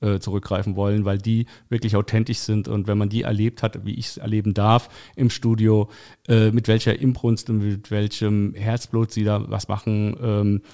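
A man from Hesse speaks as an interview guest. His voice is fairly low and gravelly with a dark, relaxed tone. He talks casually and earnestly, pausing as he looks for words. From Hesse, Germany. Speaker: podcast guest, audio drama producer and director. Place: indoor studio, in person with host.

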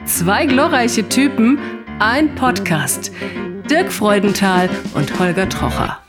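A woman's voice: medium pitch, lively intonation, takes it slowly. A woman reading a scripted podcast intro as an announcer, with guitar playing behind her. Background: plucked guitar music.

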